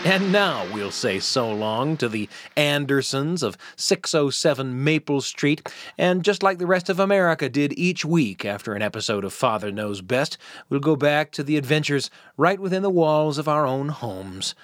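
Animated male storyteller host. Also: on the high side, moderate pace, no room sound, clean studio sound. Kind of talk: storytelling narration.

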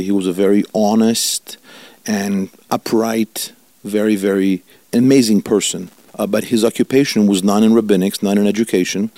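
Italian-born male rabbi, fifties, earnest and unhurried, low and warm, dry close sound. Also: dry recording. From Italy. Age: 50s. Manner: earnest, measured, stretches key words slowly. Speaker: rabbi, guest. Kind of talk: reflective interview answers.

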